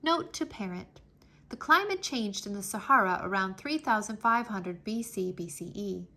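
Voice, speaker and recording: Bright, very animated woman storyteller. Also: mid-range voice, moderate pace. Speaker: children's read-aloud narrator. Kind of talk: expressive storybook reading.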